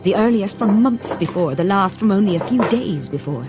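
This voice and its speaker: A woman's voice: mid-range voice, animated, moderate pace, music in the background, close to an everyday voice. An actress playing a determined Victorian woman who narrates a gothic radio drama in the first person. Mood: tense, intent.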